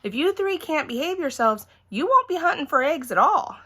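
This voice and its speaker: Exasperated dad laying down the rules, played by a woman, emphatic, bright, forceful storybook voice. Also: sits high, big pitch swings, moderate pace, pitched above the performer's own voice, full character voice. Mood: scolding. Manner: stern, emphatic, rule-giving. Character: stern, exasperated father running an egg hunt.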